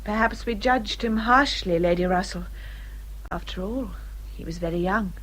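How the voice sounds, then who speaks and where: An English actress playing a gentle, dutiful young gentlewoman in a period radio drama, with a polite, tactful, measured manner. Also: medium pitch, close to an everyday voice, low-quality recording. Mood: thoughtful.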